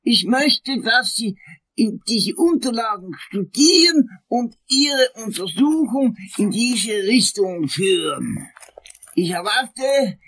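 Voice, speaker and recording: A creaky, pompous, vengeful prosecutor. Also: male voice, very high-pitched, animated, a bit bright, exaggerated voice. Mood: haughty, indignant. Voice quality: raspy, creaky, often hissing. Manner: pompous, theatrical, swooping, drawn-out delivery. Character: outraged, vengeful, pompous male public prosecutor. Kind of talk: radio drama acting.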